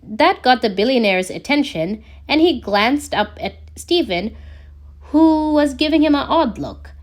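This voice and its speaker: A woman reading a scripted story aloud as a narrator, in an expressive storytelling way. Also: high-pitched, a little slow. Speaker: podfic narrator reading fan fiction.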